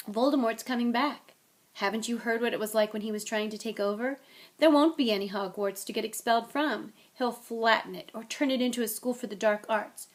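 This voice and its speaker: A woman's voice: on the high side, lightly acted. A woman voicing a determined, hot-headed boy hero in a storybook reading, with an intense, insistent delivery.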